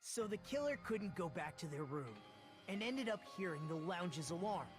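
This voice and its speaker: A forceful teenage boy in an anime mystery game. His voice is high and animated, and he lays out deductions insistently with music behind him. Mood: accusing. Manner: deliberate, insistent, explanatory. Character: earnest, forceful teenage boy reasoning out a crime. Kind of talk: anime video game voice acting.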